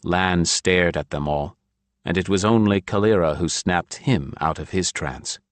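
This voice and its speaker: A man's voice: sits low, measured pace, dry recording. A man narrating a fantasy audiobook in a scripted storytelling style.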